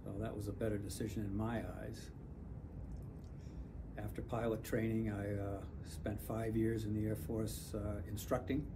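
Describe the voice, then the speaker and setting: An older man and retired airline captain, speaking in a measured, reflective way with pauses, in a community testimonial. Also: fairly low pitch, flat delivery, background noise.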